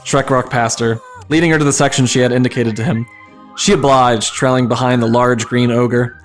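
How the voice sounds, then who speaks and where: A man's voice: medium pitch, fairly even intonation, normal speed, faint music behind. A man reading a comic fan fiction story aloud as dramatic narration.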